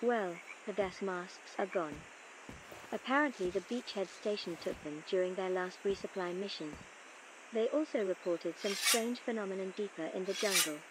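A woman's voice: medium pitch, normal speed, natural voice. Woman acting an earnest archaeologist over noisy game ambience. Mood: intrigued, businesslike. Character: earnest, composed female archaeologist leading a jungle expedition. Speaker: game character recording audio logs. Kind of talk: scripted audio-log narration, game voice acting.

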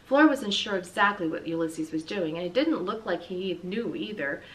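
A middle-aged woman reads a children's story aloud with expressive, dramatic, deliberate emphasis. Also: on the low side. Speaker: school read-aloud reader. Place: small indoor room with bookshelf and blinds. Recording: distant mic, light room noise.

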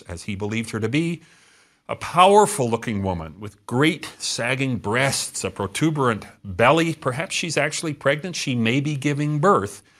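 A middle-aged man giving a scripted travel lecture to camera in a studio, telling stories with expressive gestures and dramatic pauses. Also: medium pitch. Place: studio set.

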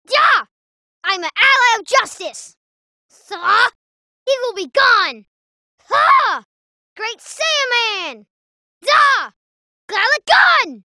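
A very high-pitched, boyish martial-arts hero. He throws out short, fierce battle cries in a piercingly bright tone. His pitch leaps wildly with each burst. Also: no echo, clean studio sound, full character voice. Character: feisty young male cartoon fighter, boyish martial-arts hero. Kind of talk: video game battle voice clips, anime dub shouting.

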